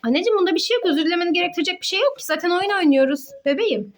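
A woman's voice: very high voice, animated, rapid-fire. A woman, a mother playfully narrating a children's game in an encouraging, affectionate manner as she talks to her child and young viewers. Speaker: mother hosting a children's play video.

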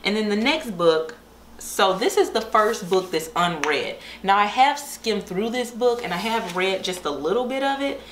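A woman's voice: mid-range voice, animated, moderate pace, a bit bright. A young woman chatting casually and enthusiastically to camera about things she likes, in an informal book vlogger's style. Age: young adult. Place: small bare-walled room indoors. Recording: echoey room with faint background hiss.

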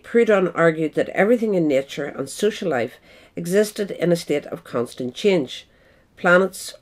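A woman's voice: low voice, a little mellow. A woman reading scripted narration, as in an audio essay. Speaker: reader.

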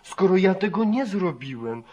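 Frightened, humble farmhand, higher-pitched man. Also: big pitch swings, normal speed, a little mellow, highs cut off. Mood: desperate pleading. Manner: humble, pleading, repetitive. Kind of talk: 1940s Polish radio drama acting.

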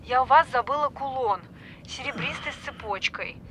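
Bright-voiced, rambling apologetic young woman. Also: high-pitched, moderate pace, a little hiss or hum. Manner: hesitant, rambling, informal. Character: chatty apologetic young woman doctor moonlighting as stripper. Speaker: actress in an audio film. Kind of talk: naturalistic audio drama phone conversation.

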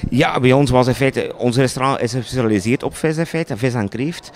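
Flemish chef, medium-pitched man's voice. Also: a little fast. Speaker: chef, interviewee. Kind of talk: spontaneous interview answers. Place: indoors in a panelled room. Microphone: handheld reporter microphone.

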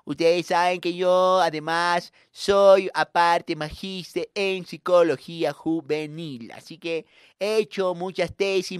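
A man's voice: fairly high pitch, clean tone, dry recording, clean studio sound, voice pushed up, close to an everyday voice. A man voicing an overfriendly, effusive schoolteacher with a cloying, gushing manner in a comic monologue. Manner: cloying, drawn-out, gushing with diminutives. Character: overfriendly, effusive, flamboyant schoolteacher addressing pupils. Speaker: radio comedy performer.

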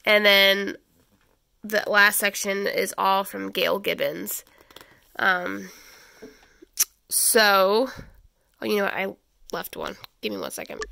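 A woman's voice: mid-range voice, steady tone, takes it slowly, gravelly, pauses often, sharp tone, no echo. A woman in her thirties, stuffy from a head cold, hosting a homeschool channel and casually walking through a stack of children's books, with plenty of ums and restarts. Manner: chatty, many ums and restarts. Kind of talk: casual book review walkthrough. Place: small home schoolroom, indoors.

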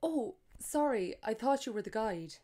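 A woman's voice: on the high side, lively intonation, normal speed, dark tone, voice pushed up. A woman reading aloud voices polite German tourists with a German accent and a careful, courteous manner.

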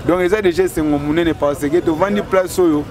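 Enthusiastic man in his forties at an outdoor market, fairly high voice, busy crowd noise behind him. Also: fairly quick. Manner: emphatic, enthusiastic. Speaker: resident interviewee. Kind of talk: street interview. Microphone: handheld mic held close.

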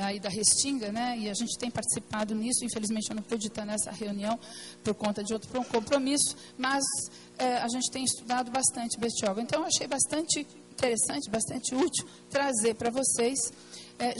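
Hoarse São woman presenting. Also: a little high, low-quality recording. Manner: hesitant, many fillers and restarts. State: hoarse, partly lost voice. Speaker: invited presenter at an environmental council meeting. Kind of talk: technical presentation with slides. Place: meeting room at a plenary session.

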